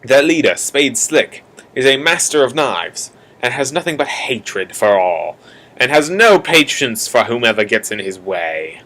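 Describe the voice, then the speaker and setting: A man's voice: medium pitch, very animated, measured pace, bright, forceful tone, low-quality recording. A young man delivering dramatic scripted narration straight to the listener, in a theatrical, mock-ominous manner with casual asides. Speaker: narrator of a college radio play.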